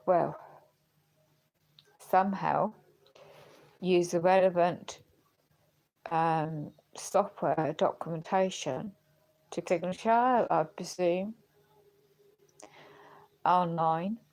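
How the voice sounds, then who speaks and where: A low-pitched woman talks slowly on a personal livestream, searching for words as she goes. Her voice is gravelly and starting to give out. She sounds earnest and questioning, with an indignant edge. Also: a little mellow, low-quality recording. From United Kingdom. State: voice going, unwell. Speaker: live streamer. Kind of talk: rambling personal livestream talk.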